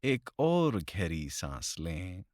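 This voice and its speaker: A man leading a guided meditation in slow, deliberate phrases with long pauses, recorded close to the mic. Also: low voice, very animated, a little mellow, no room sound.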